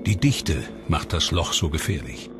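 Male doc narrator, deep voice, calm explanatory read, music underneath. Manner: measured, explanatory. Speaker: documentary narrator. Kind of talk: scripted documentary narration. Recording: voice-over with music bed. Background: clear music.

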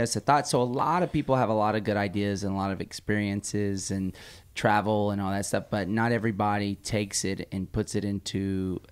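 A man's voice: fairly low pitch, smooth. A man hosting a podcast interview, encouraging his guest and building long, winding questions into a table mic in a small room. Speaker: podcast host. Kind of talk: conversational interviewing. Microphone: table mic on a stand.

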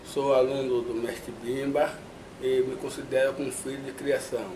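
An older man with a Bahian accent, from Salvador, speaks softly and in a measured way in an interview. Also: medium pitch, flat delivery. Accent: Bahian Portuguese. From Salvador, Brazil. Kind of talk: interview testimony. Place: indoors in front of a flag backdrop. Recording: echoey room with faint noise.

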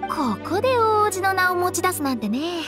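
A woman's voice: very high-pitched, sing-song, normal speed, fairly bright tone, heavily acted. A woman playing a playful, shrewd young funeral director in an anime game, with teasing, sing-song, probing delivery. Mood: probing, suspicious. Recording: background music under voice.

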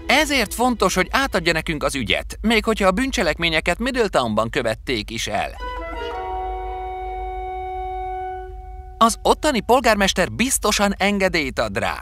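An actor voicing a self-assured young boy detective in an animated story, explanatory and commanding. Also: sits high, few pauses, over music. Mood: assertive.

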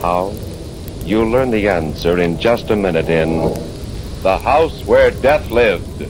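A man narrating a 1940s radio horror show in a dramatic, ominous and deliberate manner. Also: lowish voice, lively intonation, noisy background. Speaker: host and narrator. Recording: vintage 1940s radio, music behind.

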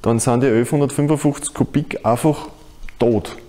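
A man's voice: medium pitch, steady tone, normal speed, very noisy. A man in his forties with an Austrian accent, explaining informally to camera in colloquial German. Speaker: motorsport presenter.

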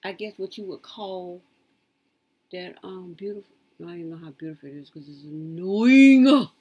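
A woman in her late fifties rambling through her life story in a casual, lively way. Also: moderate pace, slightly rough, lo-fi sound. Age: 50s. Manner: lively, swoops high when quoting others.

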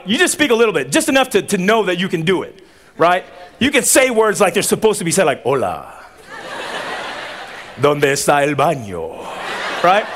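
A man's voice: sits high, a bit bright. Man, thirties, born in Tonga, tenor voice, joking commencement sermon, audience call-and-response, outdoor tent stage. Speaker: commencement speaker.

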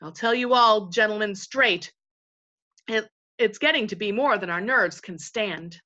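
A woman reads as a folksy invisible chieftain from a storybook, bright and forceful. The talk rambles and repeats itself in plain colloquial turns, bossy yet timid, on a slightly dull recording. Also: mid-range voice, a little slow. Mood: earnest, exasperated. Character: invisible leader, rambling, matter-of-fact, folksy, timid bully.

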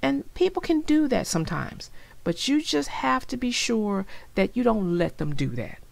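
A woman narrating a children's story in an expressive read-aloud style, speaking directly to young listeners. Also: fairly high pitch, normal speed, creaky. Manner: addresses young listeners directly, explaining the lesson.

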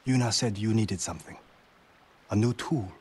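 A man's voice: fairly low pitch, moderate pace, a little mellow, slightly rough. An actor playing an earnest, worried village man in a dramatic video game. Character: anxious humble village men, earnest and worried.

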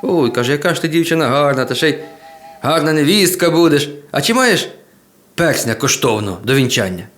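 Man doing sly, coaxing little gentleman. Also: on the high side, animated, brisk. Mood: flattering. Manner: coaxing, confiding. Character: sly, flattering little gentleman, secret helper of Death. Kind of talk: folk tale storytelling. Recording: guitar music behind the voice.

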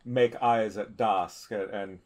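A man talking casually as a player in a tabletop game, halting and repeating his words. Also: on the low side, steady tone, a little mellow. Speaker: tabletop role-playing player. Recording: faint background noise.

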